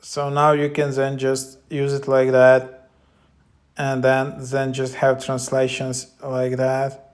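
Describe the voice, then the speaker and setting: A man giving a casual, loosely improvised app walkthrough as a tech vlogger, with halting phrasing. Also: medium pitch, completely flat delivery. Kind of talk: casual app walkthrough, partly reading descriptions aloud.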